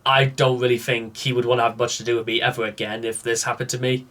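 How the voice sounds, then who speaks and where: A man's voice: medium pitch, level tone, fast, a bit bright. A man giving off-the-cuff reaction commentary, musing aloud in an exasperated way.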